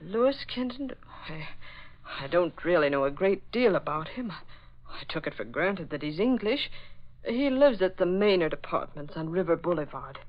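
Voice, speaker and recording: A woman's voice: on the low side, expressive, normal speed, natural voice. An actress playing an anxious, genteel society mother in an earnest 1940s radio drama style. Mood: thoughtful. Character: worried, genteel society woman, an adoptive mother. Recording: dull old radio recording.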